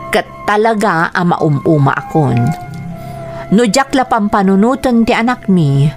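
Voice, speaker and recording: An actress with an Ilocano accent playing a hurt, weary young wife and mother in a radio drama. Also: lowish voice. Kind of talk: Filipino radio drama acting.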